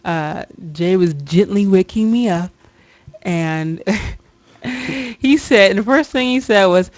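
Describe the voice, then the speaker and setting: A woman in her thirties with a Kentucky accent chats on a podcast, telling stories with frequent laughter. Her voice sits at a middling pitch and sounds dry and close. She talks in an easy, chatty way. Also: slow pace, no echo, muffled recording. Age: 30s. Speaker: podcast co-host. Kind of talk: casual chat.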